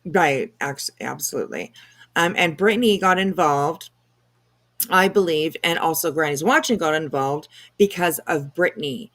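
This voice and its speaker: A woman co-hosting a casual commentary livestream, explaining things in a halting, rambling way. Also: deep voice, a bit bright. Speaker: livestream co-host.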